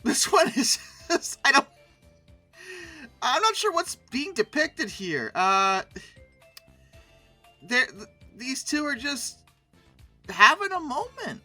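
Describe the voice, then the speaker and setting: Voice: sits high, very animated, takes it slowly, long pauses, pushed and bright, faint music behind. A commentator giving casual, humorous commentary in a sarcastic, theatrical way. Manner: sarcastic, theatrical, breaking into mock outbursts. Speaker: YouTube host reviewing old dinosaur art.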